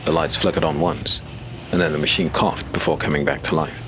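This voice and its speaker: A man narrating a dark fantasy audio drama in measured, dramatic storytelling. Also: rumbling low, flat delivery, background noise.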